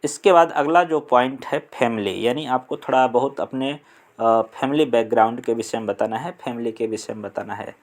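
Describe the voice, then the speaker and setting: A young man from India with a Hindi accent, explaining an English lesson slowly and deliberately, pausing on fillers. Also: mid-range voice. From Uttar Pradesh, India. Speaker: English teacher.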